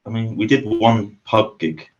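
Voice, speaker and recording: A young man chats easily about his band, laughing now and then. His voice is very deep, and the recording sounds dull and muffled. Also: unhurried. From Shropshire, England. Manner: easygoing, laughs often, lists things. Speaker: band member, guest. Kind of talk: casual interview chat.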